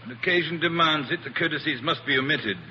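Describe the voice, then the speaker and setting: An actor playing a formal, coolly insistent plantation owner in a 1940s radio drama, stiffly polite and clipped. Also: male voice, a little high, fast talker, lo-fi sound, lightly acted. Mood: formal, brisk. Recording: noisy old 1940s radio recording.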